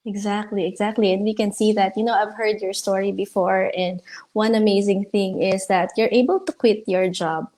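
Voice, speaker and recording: A woman's voice: mid-range voice, fairly even intonation, moderate pace, warm tone. A woman hosting an online livestream interview in an encouraging, admiring manner. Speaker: interview host.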